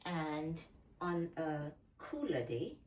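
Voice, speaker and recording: A woman's voice: low-pitched, very animated, slow, a little mellow. A woman from Malaysia talking casually and plainly through things step by step, as a solo travel vlogger. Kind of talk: casual packing vlog. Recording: distant mic, slight background noise.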